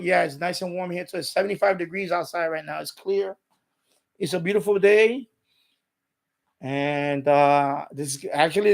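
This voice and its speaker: A man's voice: on the high side, vocal fry, darkish tone. A middle-aged man chatting casually and unscripted as a live stream host, rambling and repeating himself as he explains.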